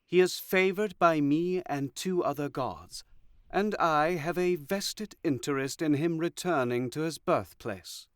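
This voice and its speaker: A man playing a sly god of deception in an audio drama, speaking in a measured, deliberate way with pauses. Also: fairly high pitch, clean tone, no echo, studio quality, lightly acted. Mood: sly, persuasive.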